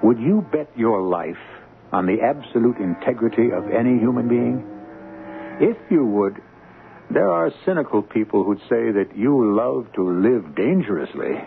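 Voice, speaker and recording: Male radio mystery host, gravelly lowish voice, musing and deliberate scripted delivery, vintage tinny sound. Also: expressive, a little hiss or hum. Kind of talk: scripted, philosophical radio introduction. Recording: tinny 1970s radio recording. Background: prominent music.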